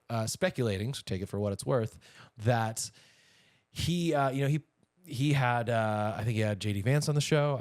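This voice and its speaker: A man's voice: mid-range voice, darkish tone, no echo, clean studio sound. A man co-hosting a podcast, chatting informally through news stories in a casual, conversational way. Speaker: podcast co-host.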